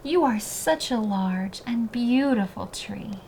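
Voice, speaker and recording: Woman playing forest sunbeam, storybook spirit, gentle, soothing, encouraging, faint background noise. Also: on the high side, expressive, takes it slowly, voice pushed up, poor audio. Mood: gentle encouragement. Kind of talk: children's storybook character voice.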